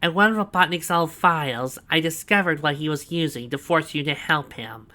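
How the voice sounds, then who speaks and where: A man voicing a scheming male villain who gloats and drawls his words out slowly. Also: on the high side, pushed and bright, pitched above the performer's own voice. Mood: gloating.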